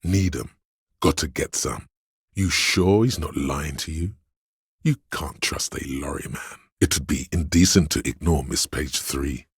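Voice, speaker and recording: Very deep, gravelly sleazy tempter. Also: male voice, no echo, clean studio sound, heavily acted. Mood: lustful, urging. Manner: slow, insinuating, coaxing, streetwise slang. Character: inner voice of addiction, sleazy hedonistic adult male tempter. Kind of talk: video game character voice acting.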